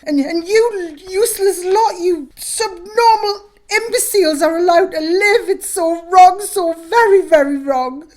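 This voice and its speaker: A woman playing a stern, haughty middle-aged matron in an audio drama, sharp, scornful and commanding. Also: very high-pitched, a little slow, hardly any pauses. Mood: grieving fury. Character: stern, haughty Edwardian matron of a care home.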